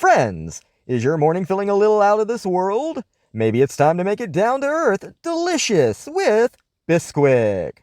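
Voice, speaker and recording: An upbeat, folksy radio pitchman played by a man in a retro sponsor read. He swings his raised pitch widely with punchy, exaggerated salesmanship, in a dry, close sound. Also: huge pitch swings, a little slow, few pauses, darkish tone, dry recording, soft background music, heavily acted. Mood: upbeat, salesy. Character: upbeat, folksy male radio advertisement announcer.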